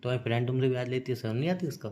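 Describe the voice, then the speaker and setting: A man's voice: mid-range voice, very fast, dark tone. A young man bickering casually on a call, teasing, cutting in and dropping English phrases into his talk. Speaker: boyfriend in a couple's phone call. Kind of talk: casual argumentative chat.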